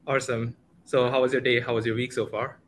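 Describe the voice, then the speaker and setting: A young man with an Indian accent hosts a livestreamed meetup in a casual, informal way. His voice is bright and forward. He is on a headset at home, with some faint noise behind him. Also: mid-range voice, little pitch movement, quick pace. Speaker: host of a community meetup. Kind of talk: casual livestream event introduction. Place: home room, indoors, video call.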